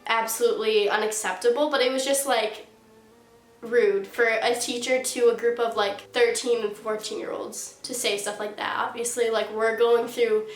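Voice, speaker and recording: A late-teen girl with a slightly high voice tells a casual storytime to her viewers, sounding stuffed up from a sinus cold. She chats loosely and fills her sentences with "like." The room around her adds a noticeable echo. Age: late teens. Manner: chatty, heavy use of "like". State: stuffy nose from a sinus infection. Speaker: YouTuber. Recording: roomy echo.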